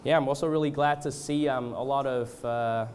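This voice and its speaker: A man from Australia and Canada with a cold, speaking as a preacher in an informal, halting, hesitant way. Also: medium pitch, fairly even intonation, a little mellow, a little hiss or hum. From born in Australia, moved to Canada. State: has a cold. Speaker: guest preacher at a church revival gathering. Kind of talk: informal sermon with congregational prayer.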